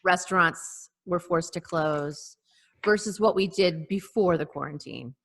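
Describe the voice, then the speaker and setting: A woman's voice: fairly low pitch, unhurried, gravelly, a bit bright. A middle-aged woman in casual, opinionated panel chat over a video call, with a sardonic way of talking. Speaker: podcast panelist.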